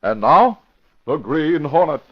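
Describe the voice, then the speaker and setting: A man's voice: on the high side, very animated, slow. A man announcing and narrating an old-time radio show with an emphatic, theatrical delivery. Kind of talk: 1940s radio announcing, dramatic scripted narration. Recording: dull vintage radio broadcast recording.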